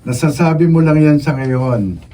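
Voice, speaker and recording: A dark, mellow male voice of a pushy young pal, played by an actor, forever needling and coaxing in a playful way. The radio drama performance is heard a little far from the mic. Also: on the high side, expressive, a little slow. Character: teasing, pushy young male friend and hopeful suitor. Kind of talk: Filipino radio drama acting. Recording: mellow, distant room mic.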